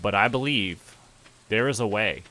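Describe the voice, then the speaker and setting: A man's voice: on the low side, measured pace, bright, forceful tone, low-quality recording. A man, playfully thinking aloud and narrating what he does as he streams a game. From Canada. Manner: playful, narrates own actions and reads game text aloud.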